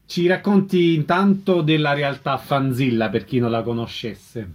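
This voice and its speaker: A man's voice: medium pitch, steady tone, a little slow. A man hosting an arts programme on the radio, presenting and interviewing guests.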